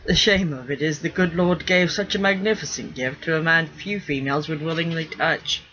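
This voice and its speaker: Ferocious storybook pirate mate acted by a man, commanding and blunt, forceful tone, lo-fi capture. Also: a little high, normal speed, a little hiss or hum. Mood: amused. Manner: blunt, commanding sailor talk. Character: bossy, ferocious, wry male pirate mate. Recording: dull lo-fi recording.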